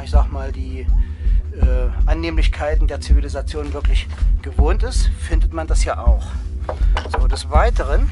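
Wry man narrating outdoors, music behind. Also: fairly high pitch. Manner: playfully ornate, wry phrasing. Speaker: vlogger narrating an outdoor hike. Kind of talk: casual narration to camera, chatting with companions. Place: outdoors in a snowy forest by a campfire. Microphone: handheld camera, speaker behind it.

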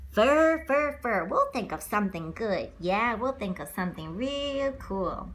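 A woman voicing excitable storybook circus animals, exclaiming and repeating phrases. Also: fairly high pitch, measured pace, slight background noise. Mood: eager.

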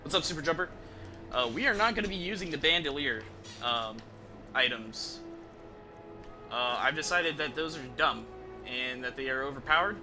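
A man's voice: a little high, lively intonation, moderate pace, pauses often. A young man giving casual live game commentary in a rambling, informal, sweary way. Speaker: video game streamer. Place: indoor room at a streaming setup. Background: game music and sounds.

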